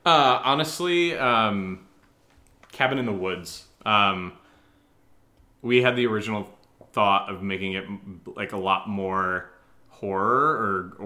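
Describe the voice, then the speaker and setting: Low, bright-voiced man chatting casually. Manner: rambling, peppered with "like". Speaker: audio drama writer and voice actor, co-host. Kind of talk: casual Q&A chat.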